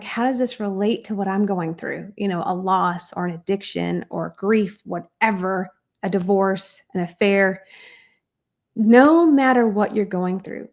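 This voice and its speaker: A woman guest on a podcast, telling her life story in an earnest, animated way. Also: medium pitch, creaky.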